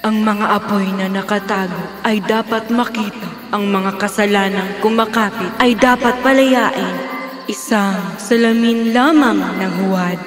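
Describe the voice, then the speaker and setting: A woman's voice: mid-range voice, fairly even intonation, a little slow, faint music behind, lightly acted. A woman playing a solemn, ancient magical guardian tree in a fairy tale.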